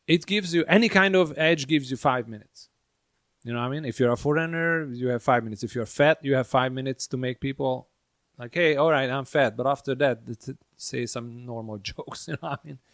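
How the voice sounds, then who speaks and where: A man's voice: medium pitch, fairly quick, a bit bright, no room sound. A man with a Hungarian accent, a comedian chatting casually with dry, blunt humor and casual swearing. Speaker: comedian and podcast host. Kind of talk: casual chat.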